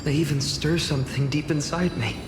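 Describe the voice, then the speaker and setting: A man voicing an earnest, thoughtful young hero with hushed, hesitant, sincere delivery in a fantasy game. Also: fairly high pitch, fairly even intonation, brisk, noisy background, lightly acted. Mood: thoughtful, moved. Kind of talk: dubbed fantasy game dialogue. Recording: long reverb with loud music.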